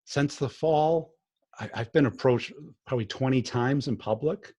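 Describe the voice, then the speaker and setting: A man's voice: mid-range voice, normal speed, darkish tone, husky. A man hosting a podcast, talking bluntly and plainly in casual conversation. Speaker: podcast host.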